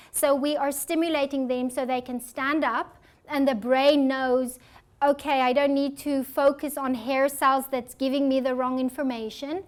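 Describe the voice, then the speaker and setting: A woman with a South African accent, an audiologist giving a health talk and answering audience questions in an earnest, reassuring way. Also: high-pitched. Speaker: audiologist presenter.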